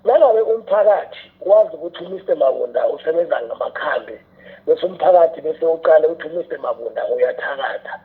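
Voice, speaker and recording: Quick-talking South African man, tinny phone-like sound. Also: a little high, animated. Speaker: radio drama scriptwriter and teacher, interview guest. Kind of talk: explanatory interview talk.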